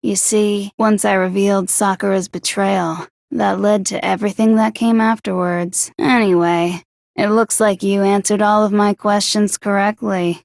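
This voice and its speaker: A woman's voice: mid-range voice, flat delivery, measured pace, gravelly, no room sound, clean studio sound. A woman playing a morbid, despair-loving teenage girl villain in an anime game, melodramatic and drawn out. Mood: morbid gloom. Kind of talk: anime video game voice acting.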